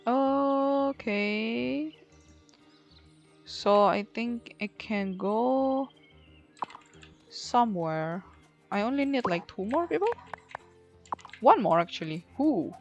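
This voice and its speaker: A woman streams a game with casual commentary. She talks slowly in a fairly high voice, musing and exclaiming, over soft game music. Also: lively intonation, lo-fi sound. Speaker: gaming streamer.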